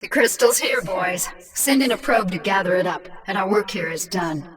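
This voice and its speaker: A woman voice acting a cunning, commanding infested queen in a video game, with a cool, measured, persuasive delivery. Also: medium pitch, expressive, fairly bright tone, far from the mic, natural voice. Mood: cool confidence. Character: cunning, commanding infested alien queen, former mindless killer.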